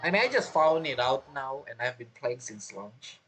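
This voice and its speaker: Female game streamer, low-pitched, very expressive intonation, casual and rambling, reacting aloud. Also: moderate pace, over music, poor audio. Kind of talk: casual let's-play commentary.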